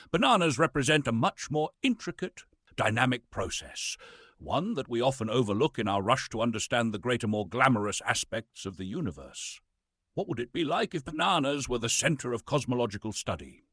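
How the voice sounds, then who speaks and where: Man playing a pompous, husky British thespian, swooping theatrically. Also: mid-range voice, a bit bright, no echo, studio quality. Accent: British English. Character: pompous, bitter old Shakespearean actor reduced to reading science. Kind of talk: theatrical dramatic reading.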